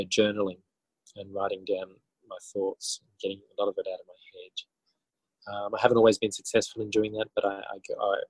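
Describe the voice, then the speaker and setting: Low, husky man. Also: no echo, poor audio. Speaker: interview guest, author and educator. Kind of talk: casual interview answers.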